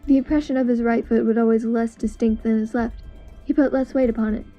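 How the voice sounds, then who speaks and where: A woman's voice: fairly high pitch, fairly even intonation, fairly quick, dark tone, close to an everyday voice. A woman playing a confident, precise, analytical detective in an amateur audio drama, explaining things in an assured way. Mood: assured, explanatory. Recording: dull lo-fi audio with music.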